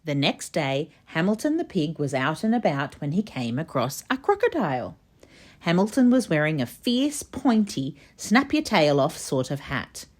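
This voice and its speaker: A woman with a low voice narrates a children's storybook. She is expressive and emphatic, with highly animated intonation that rises and falls throughout. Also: normal speed. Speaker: storybook reader. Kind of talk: children's read-aloud narration.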